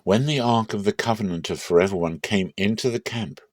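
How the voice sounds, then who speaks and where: A man with a lowish voice reads scripture as drama. His delivery is measured and solemn but expressive, and the sound is dry and close, free of room echo. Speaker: Bible reader.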